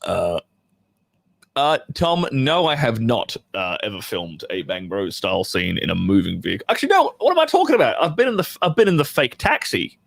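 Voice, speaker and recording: Gravelly-voiced man chatting casually on a livestream. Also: mid-range voice, very animated, a little fast, no room sound. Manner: rambling, profane, joking. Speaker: adult film performer hosting a livestream. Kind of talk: casual livestream commentary to a chat audience.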